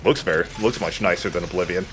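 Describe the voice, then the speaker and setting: A man's voice: sits low, brisk, music in the background, rough recording. A man giving casual commentary on a video game, with a dry, opinionated way of talking. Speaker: let's play host.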